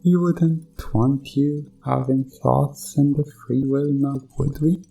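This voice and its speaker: A man playing a sinister, condescending evil doctor in a hypnosis ASMR roleplay, coaxing with mock reassurance and deliberate pauses. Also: mid-range voice, fairly even intonation, creaky, mellow, soft background music, low-quality recording. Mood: smug, menacing.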